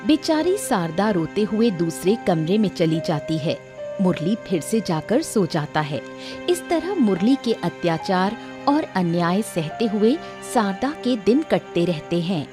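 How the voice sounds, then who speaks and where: A woman narrates a moral story from a script. Her pitch sits in the middle and moves expressively, with light music behind her. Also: very animated, a little slow.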